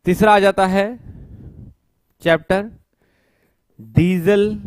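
A man in his thirties teaching an exam-prep class at a whiteboard, explaining step by step and slowly repeating key technical terms as he writes, with English terms mixed into his speech. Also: high-pitched, fairly even intonation, smooth, dry recording. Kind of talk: whiteboard lecture. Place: studio with whiteboard, indoors. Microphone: headset mic.

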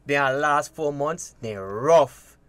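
A man's voice: on the high side, slow pace, a bit bright, clean tone, no room sound. An actor with a Belizean Creole accent playing a friendly, lovestruck young fisherman in a radio drama. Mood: earnest.

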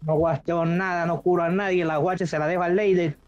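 A man's voice: fairly high pitch, little pitch movement, a little fast, darkish tone. A man bantering casually in slangy, foul-mouthed style while gaming. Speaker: online gamer. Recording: dull lo-fi voice chat, music behind.

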